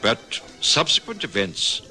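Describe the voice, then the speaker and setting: Calm British doctor-narrator, measured, animated pitch, radio play. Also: male voice, faint music behind, heavily acted. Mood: reflective. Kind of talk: 1950s radio drama acting.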